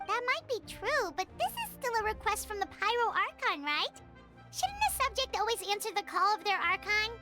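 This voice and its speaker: A woman voicing a small, cute, childlike fairy companion in an anime game, with a squeaky voice and a chirpy, exclamatory manner. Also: lively intonation, normal speed. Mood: puzzled, doubtful. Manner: chirpy, exclamatory, refers to self by name. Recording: loud game music behind.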